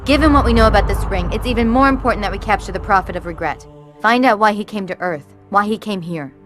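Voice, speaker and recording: A woman playing a resolute military commander in a game cutscene, crisp and authoritative. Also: mid-range voice, quick pace, over music, close to an everyday voice. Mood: firm, businesslike. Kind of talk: video game cutscene acting.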